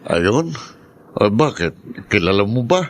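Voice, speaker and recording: An actor playing a concerned, married younger brother in a Filipino radio drama. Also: male voice, on the low side, sing-song, measured pace. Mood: casual, curious. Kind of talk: Tagalog radio drama acting.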